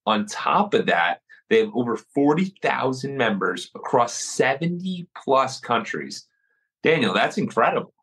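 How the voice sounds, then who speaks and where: An upbeat man hosts a podcast interview in a casual style, firing off follow-up questions. His voice has a slight husk to it and sits at a middle pitch. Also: sing-song, fairly bright tone, slightly rough, poor audio. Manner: upbeat, laughs often, quick follow-up questions. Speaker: podcast host.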